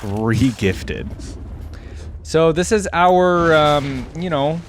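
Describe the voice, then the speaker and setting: A man's voice: fairly high pitch, animated, extremely slow, a little hiss or hum. A man giving casual, off-the-cuff reaction commentary, like a video creator talking through what he sees.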